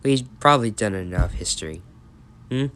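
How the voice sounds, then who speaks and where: A man's voice: lowish voice, animated, moderate pace, a bit bright, clean tone. A man with an American accent reading a bedtime story and chatting about it as he goes. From United States. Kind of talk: storytelling and chat.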